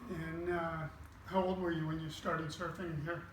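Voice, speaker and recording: A man's voice: fairly high pitch, level tone, normal speed. A man from California, interviewing in a laid-back way, with lots of fillers. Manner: laid-back, filler-heavy surfer talk. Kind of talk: casual interview. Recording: echoey, crowd noise behind.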